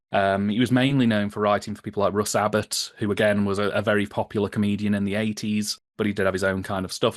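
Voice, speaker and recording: A man's voice: lowish voice, no room sound, clean studio sound. A British man chatting casually as a podcast guest, wry and informative as he explains things.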